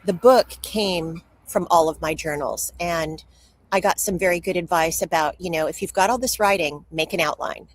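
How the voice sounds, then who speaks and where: A woman with a slightly low-pitched voice answers as an interview guest. She tells personal stories candidly and openly, like a writer happy to share everything. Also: normal speed, no echo, poor audio. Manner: candid, open oversharer.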